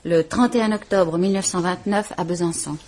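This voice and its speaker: Actress doing a scared but dignified wife in her thirties, low husky voice, formal and restrained, old radio play. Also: a little fast, a little mellow, lightly acted. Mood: formal, factual. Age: 30s. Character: frightened, dignified woman of about thirty. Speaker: woman reporting her husband. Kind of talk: vintage radio drama acting.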